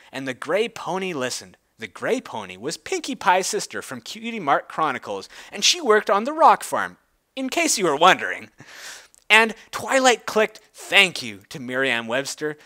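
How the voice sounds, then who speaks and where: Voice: medium pitch, pushed and bright. A person giving a theatrical, emphatic dramatic reading of a story, drawing out words for effect.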